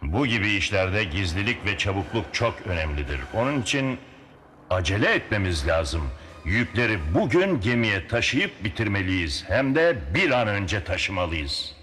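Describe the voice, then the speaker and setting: A man's voice: lowish voice, big pitch swings, normal speed, pushed and bright, soft background music. A man playing a bold, cautious sea captain in a dubbed cartoon, dramatic and authoritative. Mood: urgent, resolute. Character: bold, cautious seafaring captain, commanding and resolute.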